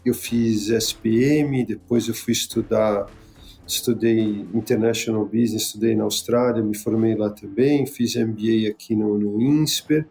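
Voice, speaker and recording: Gravelly-voiced businesslike man. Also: lowish voice, steady tone, unhurried, darkish tone. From São Paulo, Brazil. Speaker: guest, marketing director. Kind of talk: casual interview chat.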